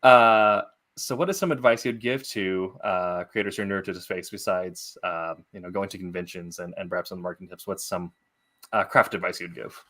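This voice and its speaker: A man hosting a casual interview about writing, speaking in a halting, thoughtful way as a fellow writer. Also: low voice, steady tone, bright, forceful tone. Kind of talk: casual podcast interview chat.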